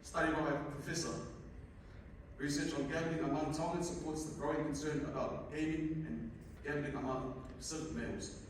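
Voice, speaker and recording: A man's voice: fairly high pitch, fairly even intonation, normal speed. Young Fijian man in a very echoey hall. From Fiji. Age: young adult. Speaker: undergraduate researcher presenting. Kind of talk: conference presentation, partly read from notes. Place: indoor conference room at a university. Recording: echoey hall, distant mic, noisy room.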